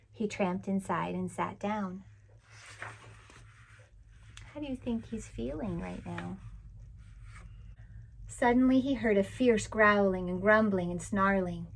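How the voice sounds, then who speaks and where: Woman, children's read-aloud, gentle, expressive, mid-pitched voice. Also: slow, vocal fry, long pauses. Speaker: children's book reader.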